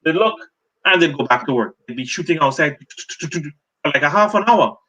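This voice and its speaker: Bright-voiced Trinidadian man telling stories. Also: a little high, expressive, normal speed, rough recording. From Trinidad and Tobago. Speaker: guest, journalist and author. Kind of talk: relaxed interview answers.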